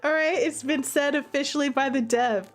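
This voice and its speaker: Playful high-voiced woman, music behind. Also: moderate pace. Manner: playful, giggly, emphatic; repeats "okay?" and "all right?" as tags. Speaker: game developer streaming own game. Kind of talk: casual livestream commentary and chat. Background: background music.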